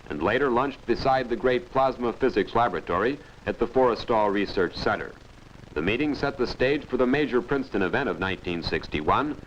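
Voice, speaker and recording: A man with an American accent reading scripted narration for an early 1960s newsreel. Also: fairly low pitch. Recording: dull, noisy 1961 newsreel soundtrack.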